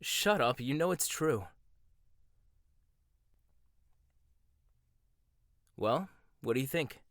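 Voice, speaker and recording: A young man voicing a proud, sharp-tongued male chef in a roleplay audio, speaking close to the mic. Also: medium pitch, lively intonation, normal speed, dry recording. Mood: bickering. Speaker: voice actor. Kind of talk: boyfriend ASMR roleplay.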